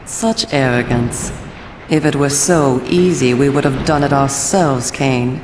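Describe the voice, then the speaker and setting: A commanding female vampire from a video game. Her low voice is grave and deliberate, stern yet persuasive. It echoes, with noise behind it. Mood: stern warning. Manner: solemn, measured, persuasive. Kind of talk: dramatic video game voice acting.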